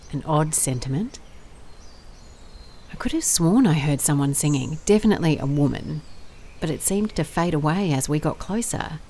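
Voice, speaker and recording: An actress playing a driven, awkward private investigator in an audio drama, speaking in a formal, wordy, dryly self-deprecating way. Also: female voice, sits low, darkish tone.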